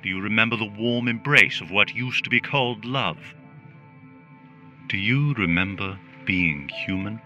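An actor playing a caring but menacing government relaxation robot in a dystopian radio drama, putting slow, rhetorical questions. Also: male voice, fairly low pitch, bright, forceful tone, muffled recording. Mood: eerie soothing. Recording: music under the voice.

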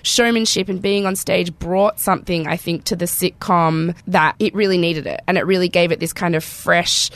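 A woman with an Australian accent hosting a casual podcast chat, her voice hoarse and croaky from a cold, chatty and enthusiastic. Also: lowish voice, fairly even intonation, moderate pace, few pauses, no room sound. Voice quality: croaky, voice cracking. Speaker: podcast host. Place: podcast studio.

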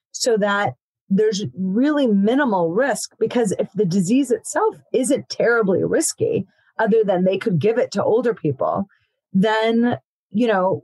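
Woman physician guest, steady explanatory delivery, mid-pitched, dry close sound. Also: husky, no echo, low-quality recording. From born in Ethiopia, raised in Africa. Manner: explanatory, steady, frequent "you know". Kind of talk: expert explaining in an interview.

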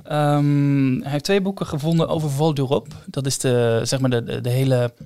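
A man's voice: medium pitch, steady tone, normal speed, dark tone, clean tone, no room sound. A man co-hosting a casual podcast, searching for his words as he explains. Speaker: podcast co-host.

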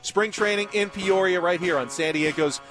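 A man's voice: sits high, moderate pace, fairly bright tone, soft background music. A man hosting live sports talk radio from a remote broadcast. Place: live remote broadcast at a baseball spring training site.

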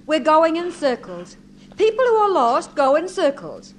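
An actress playing a skeptical, practical wife with a dry, pointed manner, in 1950s radio comedy style. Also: female voice, high-pitched, sing-song, a little slow. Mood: dry needling. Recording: faintly noisy 1950s radio recording.

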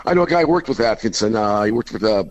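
A man's voice: on the low side, expressive, fast talker. A man with an American accent calling in to a radio show for a casual chat, over a dull phone line. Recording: dull phone call sound.

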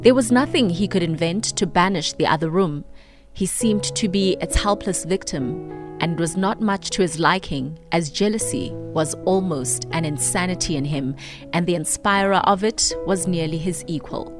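A woman's voice: on the low side, moderate pace, music in the background. A woman co-hosting a morning radio show, chatting casually and then reading a book passage aloud in a radio studio.

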